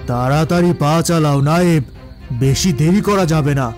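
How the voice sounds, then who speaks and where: A man's voice: on the high side, normal speed, darkish tone, close to an everyday voice. Guy doing a menacing cartoon village boss, bossy villain, music underneath. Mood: sinister. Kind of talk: Bengali cartoon moral-story voice acting. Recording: music behind the voice.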